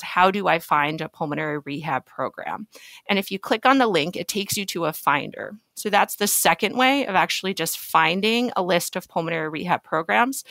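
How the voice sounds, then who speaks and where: A woman's voice: medium pitch, fairly bright tone, dry recording. Woman medical expert, dry close sound. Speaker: physician expert guest. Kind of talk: podcast interview, explaining clinical guidelines.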